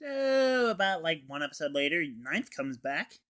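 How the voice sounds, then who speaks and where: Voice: medium pitch, sing-song, normal speed, fairly bright tone. A teenage reviewer recapping a story in a casual, scripted style. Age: teen. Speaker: anime reviewer.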